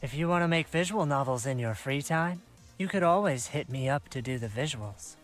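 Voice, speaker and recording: A man's voice: on the high side, expressive, moderate pace, faint music behind, close to an everyday voice. A man playing an easygoing, confident young artist in a visual novel. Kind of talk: visual novel dialogue acting.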